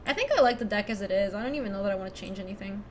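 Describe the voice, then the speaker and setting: A young woman from Ecuador chatting casually through a card game stream, thinking out loud and laughing often. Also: medium pitch, racing, slight background noise. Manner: chatty, giggly, thinks aloud to chat. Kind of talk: casual live-stream commentary.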